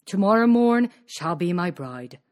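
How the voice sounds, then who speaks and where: A woman's voice: lowish voice, very animated, unhurried. A woman voicing an imperious, bloodthirsty young prince, pompous and declamatory, in a comic storybook rhyme. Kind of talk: dramatised comic verse storytelling.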